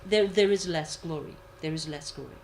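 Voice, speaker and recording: Lowish woman's voice, measured commentary, faint hum behind her. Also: darkish tone. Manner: measured, repeats points for emphasis. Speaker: guest commentator. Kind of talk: analytical discussion.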